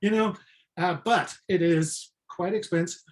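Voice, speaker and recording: A man's voice: sits high, a little slow, poor audio. A man chatting casually and candidly as a co-author in a personal conversation, with a self-deprecating manner. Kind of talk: casual unscripted chat.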